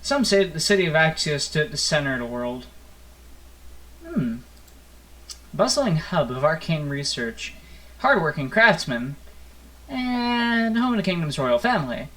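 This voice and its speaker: A man's voice: on the high side, very animated, normal speed, fairly bright tone. A man reading a visual novel aloud as a gaming host, with theatrical, playful narration and offhand asides. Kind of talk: expressive storybook-like narration with casual asides. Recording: music playing behind the voice. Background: plucked guitar game music.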